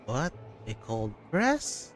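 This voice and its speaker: Slow, low-toned woman game streamer reacting. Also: wildly swooping pitch, faint music behind. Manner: short muttered reactions, echoing game words. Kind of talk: casual gameplay reactions.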